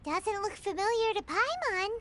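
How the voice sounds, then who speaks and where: A woman voicing a tiny, childlike, cheeky girl companion in an anime video game, squeaky and excitable. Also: normal speed, slight background noise, exaggerated voice. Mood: wary, suggesting. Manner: refers to self in third person, exclaims. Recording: game music behind the voice.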